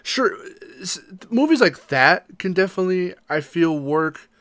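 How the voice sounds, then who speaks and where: A man's voice: sits high, expressive, a little slow, fairly bright tone, dry recording. A man co-hosting a podcast, chatting casually in an informal, enthusiastic way. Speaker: podcast co-host. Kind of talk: casual chat about games and movies.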